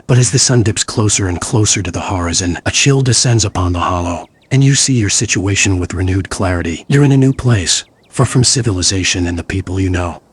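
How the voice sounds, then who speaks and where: A man's voice: low-pitched, husky. A man reading scripted second-person story narration as the narrator of a game.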